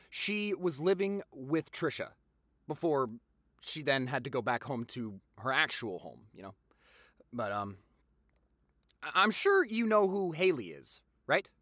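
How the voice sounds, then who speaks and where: A young man playing a gossipy, affectionate popular boyfriend in an audio roleplay, with a theatrical, teasing manner and drawn-out emphasis. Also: on the high side, fairly bright tone, dry recording, clean studio sound. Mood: storytelling, then sheepish, sincere. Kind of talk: dramatic boyfriend audio roleplay, addressed to listener.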